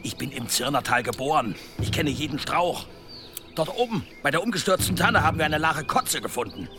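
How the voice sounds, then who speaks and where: An actor playing a gruff, impatient village blacksmith in a historical radio play, blunt, earthy and irritable. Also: male voice, on the high side, lively intonation, brisk, music in the background, natural voice. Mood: grim.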